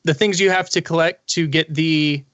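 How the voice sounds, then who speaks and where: A man's voice: fairly high pitch, steady tone, normal speed, a bit bright, dry recording. A man talking casually as a panelist in a gaming discussion. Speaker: podcast panelist.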